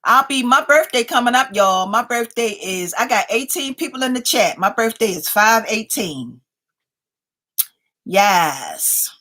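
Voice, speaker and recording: Slow-talking playful woman in her fifties. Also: on the high side, lively intonation, pushed and bright. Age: 50s. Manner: playful, chatty, keeps addressing viewers as y'all. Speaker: livestream host. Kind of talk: casual live chat reading viewer comments.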